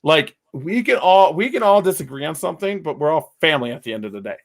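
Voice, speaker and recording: A man hosting a casual podcast chat, talking loudly and rambling with many fillers, his mic set about two feet away. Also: a little high, lively intonation, fast talker, gravelly. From Wisconsin. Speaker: podcast host.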